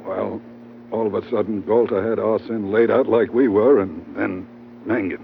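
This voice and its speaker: An actor playing a rough, battered, grumbling sailor sidekick in an old radio drama. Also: male voice, lowish voice, steady tone, normal speed. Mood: groggy, aggrieved. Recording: tinny, faintly noisy old radio.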